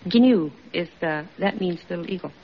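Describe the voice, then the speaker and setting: A woman's voice: lowish voice, normal speed. A woman contestant answering a host's questions on an old radio quiz show. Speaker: contestant, housewife. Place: radio studio before an audience. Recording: muffled old radio recording, faint noise.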